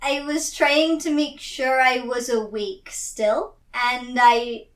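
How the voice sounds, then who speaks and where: High-voiced giggly woman, roomy distant mic. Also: steady tone, slow. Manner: giggly, often breaking into laughter. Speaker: tabletop game player. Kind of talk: improvised tabletop roleplay chat.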